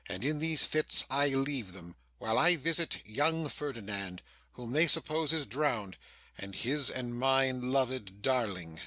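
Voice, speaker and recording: A commanding sorcerer played by a man savours his power in slow, declamatory lines. The voice is forceful and crisp-edged, recorded dry and close, with the stately manner of a classic audiobook drama. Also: mid-range voice, no room sound, rough recording. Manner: measured, declamatory, self-satisfied. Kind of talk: theatrical Shakespeare audiobook reading.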